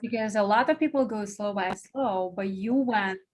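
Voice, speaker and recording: A woman's voice: medium pitch, moderate pace. A woman hosting an interview, eager and speaking English with non-native phrasing. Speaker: podcast host. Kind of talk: casual interview.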